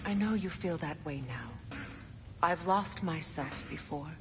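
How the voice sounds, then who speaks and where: An actress playing a solemn, resolute warrior goddess in a video game, speaking in an earnest, measured, persuasive way. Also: female voice, low-pitched, animated, in a large hall, noisy background. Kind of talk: dramatic video game acting.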